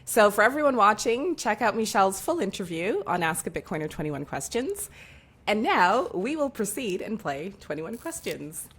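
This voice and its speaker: A woman's voice: medium pitch, big pitch swings, moderate pace, fairly bright tone, slightly rough. A woman hosting a casual, playful question-and-answer interview. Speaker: interview host.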